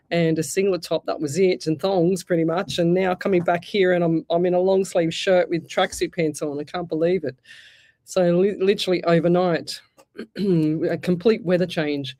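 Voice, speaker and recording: An Australian woman hosting a live radio show, chatting casually with a croaky voice from a sore throat, stumbling over words and joking about herself. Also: fairly low pitch, warm tone, no echo. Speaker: radio show host, psychic medium. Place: radio studio.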